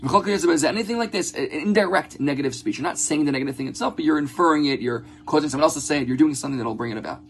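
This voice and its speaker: Gravelly-voiced man teaching a religious text. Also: on the high side. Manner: halting, repeats words while explaining. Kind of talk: religious text lesson, reading Hebrew and explaining in English. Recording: clear background noise with a hum.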